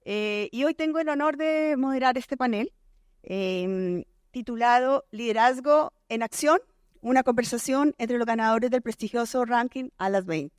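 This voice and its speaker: A woman's voice: on the high side, measured pace, no room sound, clean studio sound. Colombian woman moderating a conference panel. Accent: Colombian, softened by years in Chile. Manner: hesitant, many fillers and restarts. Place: conference stage.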